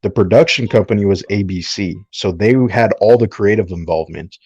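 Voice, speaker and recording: A low-pitched man lays out his opinions as a podcast panelist in an explanatory, conversational way. The sound is close and dry, slightly dulled. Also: fairly quick, darkish tone, slightly rough, no echo, muffled recording. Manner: explanatory, checks in with "right?". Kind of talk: casual opinionated discussion.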